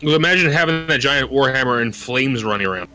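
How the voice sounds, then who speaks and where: A man's voice: medium pitch, animated, quick pace, sharp tone, soft background music. A man chatting casually about games on a podcast. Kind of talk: casual gaming podcast chat.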